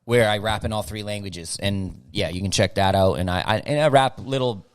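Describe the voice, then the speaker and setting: A middle-aged man whose first language is German speaks in a low, relaxed voice as a podcast guest. He is loose and slangy and stumbles over and repeats his words. The sound is dry and close. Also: a little fast, smooth, no room sound. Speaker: guest rapper. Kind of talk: casual podcast interview.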